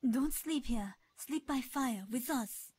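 An actress playing an anxious, caring castaway woman in a video game, with a husky, breathy voice. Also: high voice, slow, a little mellow, no room sound, heavily acted.

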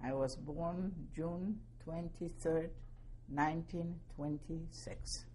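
A woman in her seventies from Poland, giving oral history testimony slowly and carefully, spelling out names. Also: low voice, fairly even intonation, warm tone, slight background noise, poor audio. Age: 70s. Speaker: interviewee.

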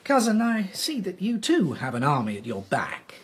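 A sly, diplomatic king with an extremely husky voice that swings widely in pitch. He speaks with measured, courtly calculation, in historical radio drama style. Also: wildly swooping pitch, heavily acted. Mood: slyly diplomatic. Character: smooth-talking, calculating, diplomatic rival young king.

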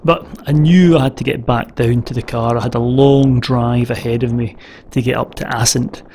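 A man's voice: fairly even intonation, normal speed, a little hiss or hum. Chatty Scottish man in a parked car. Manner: chatty, rambling with small laughs; hushed and low at bedtime. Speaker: vlogger. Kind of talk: casual vlog to camera. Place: inside a parked car at night; lying in bed in the back of a car. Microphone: camera on dashboard close up.

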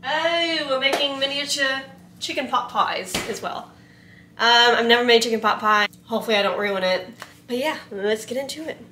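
A woman's voice: on the high side, moderate pace, background noise. A woman talking casually to camera in her home kitchen while she cooks, rambling with lots of "like" and "um". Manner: rambling, many "like" and "um" fillers. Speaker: vlogger and photographer. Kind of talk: casual vlog chat.

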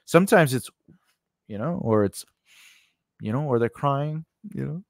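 A man in his forties giving an informal instructional talk, conversational and halting, often rephrasing himself as he goes. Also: mid-range voice, animated, gravelly, dry recording, clean studio sound. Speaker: host of a scriptwriting lesson. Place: small indoor recording space. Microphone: close studio microphone on a stand.

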